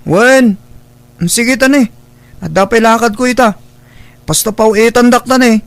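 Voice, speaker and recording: A man's voice: extremely high pitch, unhurried, a bit bright, dry recording, full character voice. A man playing a sullen, demanding young son in a radio drama, with a Filipino accent and a curt, disrespectful manner. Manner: petulant, curt. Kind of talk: Ilocano radio drama acting.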